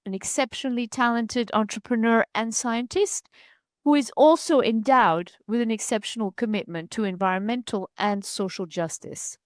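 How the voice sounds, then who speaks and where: A woman hosting an interview, introducing guests in a measured, formal way with some filler ums. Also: on the high side, no echo, studio quality. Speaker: host.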